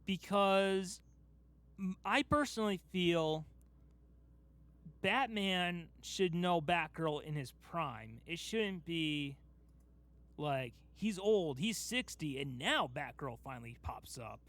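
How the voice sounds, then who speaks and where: A man's voice: fairly high pitch, expressive, slow, a bit bright. A man co-hosting a casual fan podcast, talking in an emphatic, enthusiastic way and repeating himself for stress. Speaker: podcast host.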